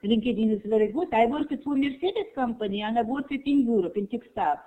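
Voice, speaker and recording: An older woman with a bright, high voice, German her first language, rambling on with gushing praise in halting English. She sounds squeezed and thin, like audio from a phone line or cheap online call. She speaks into a computer mic on a video call. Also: lively intonation. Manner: rambling, effusive, halting non-native English. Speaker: conference participant, human resources teacher. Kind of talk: online conference chat.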